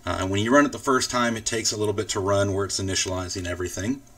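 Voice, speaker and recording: A man with a fairly low voice talks through a software tutorial. He explains each step in an informal, casual way. He sounds set back from the microphone. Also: fairly even intonation, racing, far from the mic. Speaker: tutorial host. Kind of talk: casual screen-recorded software walkthrough.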